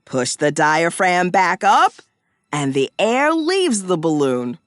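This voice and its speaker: Wildly animated, cheerful cartoon science professor. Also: female voice, fairly low pitch, dry recording, studio quality. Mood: upbeat, explaining. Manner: exaggerated, sing-song, slow and clearly explanatory. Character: cheerful, excitable woman science professor for young children. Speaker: presenter of a children's science cartoon. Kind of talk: scripted educational cartoon narration.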